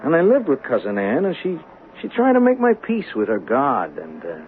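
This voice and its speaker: An actor playing a young streetwise hustler in a 1970s radio drama, slangy, offhand and hesitant, cocky yet guarded. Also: male voice, mid-range voice, huge pitch swings, moderate pace, faint music behind. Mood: brooding narration. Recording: narrow, faintly noisy old radio broadcast.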